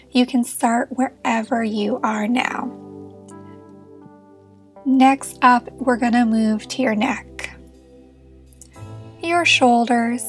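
A woman leading a guided meditation as a therapist, speaking in a gentle, reassuring and encouraging manner. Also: fairly high pitch.

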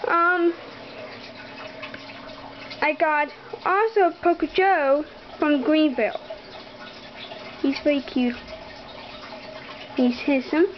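A young girl giving a casual, halting tour of her toy horse collection, with many ums, talking straight to viewers. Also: sits high, muffled recording, slight background noise. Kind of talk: casual barn tour narration. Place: bedroom. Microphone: handheld camera close to the floor.

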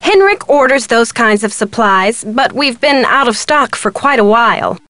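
An actress playing a brisk, wry, harried middle-aged museum curator in a video game, with a theatrical, sarcastic delivery. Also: female voice, a little high, lively intonation, a bit bright, no echo. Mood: brisk, upbeat. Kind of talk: scripted video game dialogue.

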